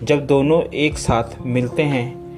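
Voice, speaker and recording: A man's voice: mid-range voice, steady tone, slow. A man narrating animal moral stories from a script in a storytelling style. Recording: plucked guitar music behind. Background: plucked guitar music.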